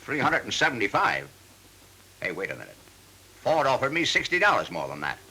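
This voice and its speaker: Gravelly, blunt, skeptical car buyer. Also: male voice, medium pitch, wildly swooping pitch, fairly quick, pushed and bright, exaggerated voice, poor audio. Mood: indignant. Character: skeptical, blunt male car buyer haggling over price. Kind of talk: mid-century training film acting.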